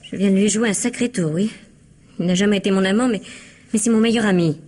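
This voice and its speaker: An actress playing an indignant, evasive young woman suspected of murder in a radio mystery, with a husky, breathy, flustered delivery. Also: mid-range voice, quick pace, a little mellow, lightly acted. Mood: angry. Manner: flustered, hesitant, protesting. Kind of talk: French radio mystery drama acting.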